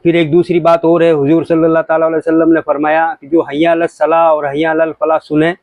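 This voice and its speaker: A man's voice: fairly high pitch, completely flat delivery, fast, a little mellow, no room sound. A man giving an informal religious talk to viewers, explaining points in an explanatory way with examples. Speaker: religious commentator.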